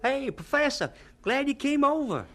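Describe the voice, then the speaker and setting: An eager young male assistant in a radio play. He is informal and excitable, with very lively, bouncing intonation. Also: sits high, normal speed, heavily acted. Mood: eager, concerned. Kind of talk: radio drama acting.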